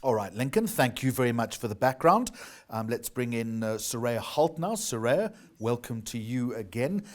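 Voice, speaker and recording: A South African man hosting and moderating a political debate, brisk and welcoming. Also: medium pitch. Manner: brisk, warm, animated intonation. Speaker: debate host.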